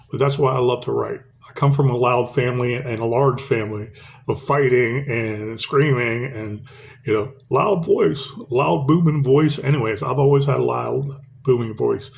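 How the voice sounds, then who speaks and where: Gravelly middle-aged man, rambling poet. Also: medium pitch. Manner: rambling, with many fillers and restarts. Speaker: poet reading his own work. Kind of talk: poetry reading and casual talk to camera.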